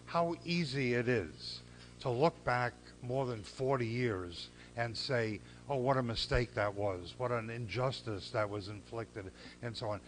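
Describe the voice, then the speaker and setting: An older man giving a speech at a gala, earnest and measured, with pauses and repeated phrases. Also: medium pitch, slight background noise, poor audio. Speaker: civil rights lawyer giving a gala speech. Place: banquet hall.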